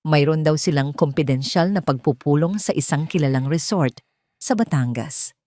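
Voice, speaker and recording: A woman with a Filipino accent reading a scripted love drama as a storyteller, with measured, dramatic delivery. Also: low voice, dark tone. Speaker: storyteller, narrator.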